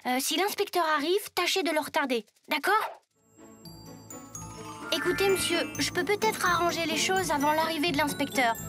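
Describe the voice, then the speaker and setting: High-pitched earnest young schoolboy. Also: female voice, moderate pace, music in the background. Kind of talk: animated cartoon dubbing.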